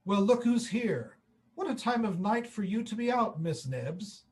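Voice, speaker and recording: A man playing an unhurried, casual police officer on night patrol in a 1940s-style radio play. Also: high voice, big pitch swings, a little mellow. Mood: folksy, casual. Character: male night policeman, slow, casual, watchful.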